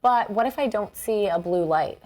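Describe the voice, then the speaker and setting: A woman's voice: mid-range voice, expressive, normal speed, darkish tone, poor audio. Curious American woman asking questions. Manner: curious, plainly read questions. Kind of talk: scripted question-and-answer dialogue.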